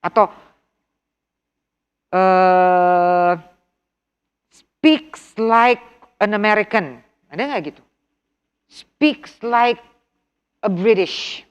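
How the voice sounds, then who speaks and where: A middle-aged woman with an Indonesian accent leads an online test-prep class at an unhurried pace. She mixes English and Indonesian, drills key words by repeating them and keeps asking her students questions. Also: medium pitch, clean tone, muffled recording. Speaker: TOEFL instructor. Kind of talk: online workshop lesson.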